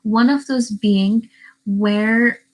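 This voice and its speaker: Teen girl from northern ireland just chatting on her first livestream, kinda high voice, rambly, webcam mic. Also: steady tone, extremely slow, low-quality recording. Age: teens. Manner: chatty and rambling, answers live chat questions. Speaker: YouTuber. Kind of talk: casual livestream chat.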